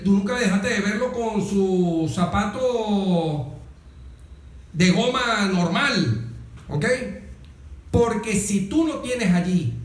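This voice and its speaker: A Venezuelan man giving political commentary as an interview guest, speaking in an emphatic, didactic way with repeated phrases and rhetorical questions. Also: high-pitched. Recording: echoey room.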